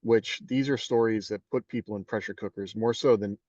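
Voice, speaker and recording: A man's voice: fairly low pitch, fairly even intonation, fairly quick, darkish tone, dry recording. A man co-hosting a podcast, talking in a rambling way with many fillers and restarts. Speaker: podcast co-host. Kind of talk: casual panel discussion. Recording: dull distant dry mic.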